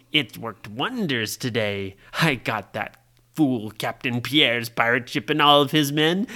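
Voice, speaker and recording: A man voicing a villainous pirate captain in a bedtime-style story, with a slow, taunting drawl and sinister chuckles. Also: medium pitch, sharp tone, clear, resonant tone, voice pushed down. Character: villainous male pirate captain, gloating and menacing.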